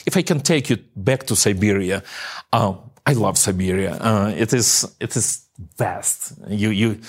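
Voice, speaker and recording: A man with a fairly low voice speaks eagerly about his work. He often repeats words and restarts phrases as he searches for the right English. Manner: excited, repeats words while searching for them. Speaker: ministry leader. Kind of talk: interview conversation.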